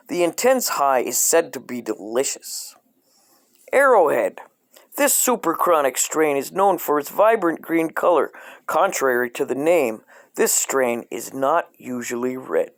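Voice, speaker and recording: Deadpan man reading aloud, lively pitch swings. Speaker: storyteller reading a parody children's coloring book. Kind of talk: scripted comedic read-aloud.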